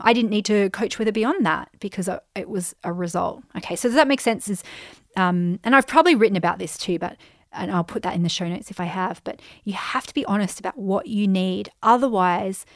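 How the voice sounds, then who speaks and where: Australian woman, 30s, podcast host, chatty, slightly croaky, dry close sound. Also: mid-range voice, no echo. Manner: chatty, animated, frequent "um" and "like". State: voice getting croaky. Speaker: podcast host, business coach. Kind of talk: casual solo podcast, answering listener questions.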